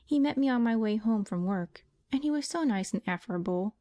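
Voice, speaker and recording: Woman doing a young sister, brave and soothing, little higher voice, mellow. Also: lively intonation, moderate pace, no room sound, studio quality, lightly acted. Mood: reassuring.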